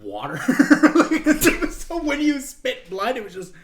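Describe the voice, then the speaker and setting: A man's voice: very high-pitched, huge pitch swings, slow, noisy background. A young man co-hosting a podcast in casual, conversational chat.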